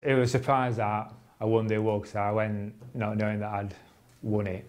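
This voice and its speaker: A man's voice: lowish voice, little pitch movement, normal speed, a little mellow. A man giving an informal, modest and reflective interview answer. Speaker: interviewee, youth worker.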